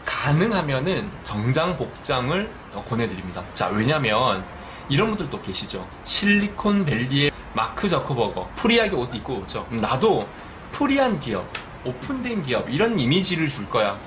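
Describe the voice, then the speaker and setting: A man's voice: medium pitch, very animated, moderate pace, background noise. A middle-aged man from Busan with a light Busan accent, giving direct, earnest business advice in a vlog. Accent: light Busan dialect. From Busan, South Korea. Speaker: business YouTuber.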